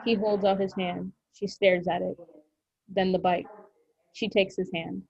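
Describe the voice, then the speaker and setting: A woman's voice: medium pitch, level tone, unhurried, gravelly, darkish tone. A woman reading screenplay stage directions aloud as narrator at a table read. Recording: dull lo-fi recording.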